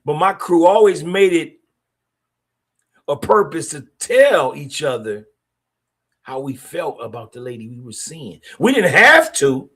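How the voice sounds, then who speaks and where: A man's voice: fairly high pitch, very animated, unhurried, a bit bright, husky. A middle-aged man, hosting a podcast and speaking bluntly in a streetwise, opinionated way. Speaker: podcast host. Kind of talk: casual monologue podcast.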